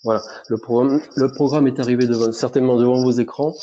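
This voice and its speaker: A man's voice: medium pitch, steady tone, fast, warm tone. A man hosting a business webinar, talking with many hesitations and restarts. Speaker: webinar host. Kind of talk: webinar presentation. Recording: dull lo-fi webinar audio.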